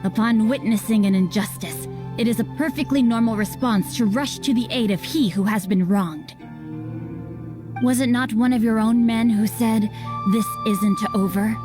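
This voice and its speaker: A woman's voice: fairly high pitch, moderate pace, music in the background, close to an everyday voice. A woman voicing a refined, bookish young nobleman, eloquent, formal and self-assured, in a theatrical video game style. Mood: righteous, calm.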